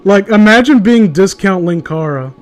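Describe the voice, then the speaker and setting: A man's voice: sits high, lively intonation, normal speed, faint music behind. A man chatting casually in a blunt, opinionated way with plenty of swearing. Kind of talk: casual chat.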